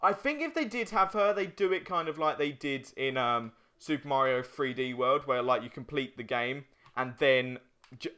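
Bright-voiced young man chatting over a game. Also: medium pitch, animated, moderate pace, clear, resonant tone. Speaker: let's play host. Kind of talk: casual chat while playing a video game.

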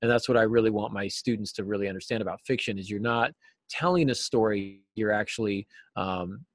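Middle-aged male interview guest, lowish voice, informal teacherly explanations, close dry sound. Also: fairly quick, dry recording. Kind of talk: casual explanatory interview talk. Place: classroom, indoors. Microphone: computer mic on a video call.

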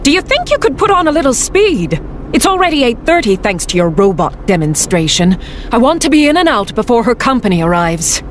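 A woman's voice: fairly high pitch, very animated, fairly quick. Haughty lady crook for audio drama: scolding, demanding, swooping intonation, faint car noise. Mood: impatient, scolding. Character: imperious, impatient female crime associate.

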